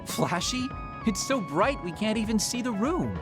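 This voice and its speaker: A teasing, sharp-tongued young character in an anime-style game. The delivery is casual and swings widely in pitch, at a middling register. Music plays behind. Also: female voice, very animated, unhurried.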